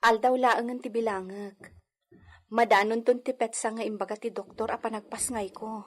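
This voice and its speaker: Pregnant young lady for radio drama, woman acting: highish husky voice, anxious, Ilocano soap delivery. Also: fairly even intonation, normal speed, natural voice.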